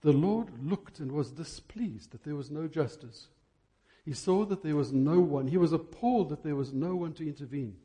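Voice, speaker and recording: A man preaching an impassioned church sermon, emphatic, with rhetorical questions and deliberate stresses on key words. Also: fairly high pitch, mellow.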